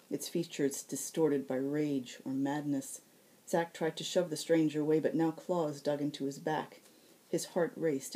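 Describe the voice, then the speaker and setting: A woman narrates a novel in audiobook style. Her voice is very low for a woman and has a dark, mellow tone as she reads the scripted prose. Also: rough recording. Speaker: author reading own novel.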